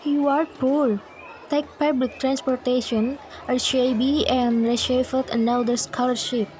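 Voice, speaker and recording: A woman with an Indonesian accent playing a snobbish high school bully in an amateur radio drama. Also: fairly even intonation, a little slow, a little mellow, a little hiss or hum, low-quality recording. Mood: scornful.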